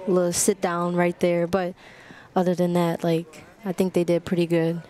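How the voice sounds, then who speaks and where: A young woman, a college player, giving relaxed and giggly guest commentary on a basketball game, with a lot of "like". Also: on the low side, moderate pace. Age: young adult. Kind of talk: casual commentary. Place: college gymnasium at a live game. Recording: crowd noise in a gym.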